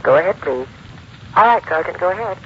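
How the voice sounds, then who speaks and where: A woman's voice: fairly low pitch, expressive, fairly quick, natural voice. A woman playing a polite long-distance telephone operator in an old radio drama, clipped, sing-song and procedural. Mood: brisk, polite. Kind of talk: 1940s radio drama acting. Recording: tinny, hissy old radio recording.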